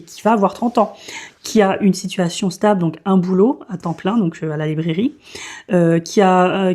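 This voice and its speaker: A woman's voice: fairly low pitch, dark tone. A woman in her thirties giving a casual, hesitant book review full of fillers and restarts. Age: 30s. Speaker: book vlogger.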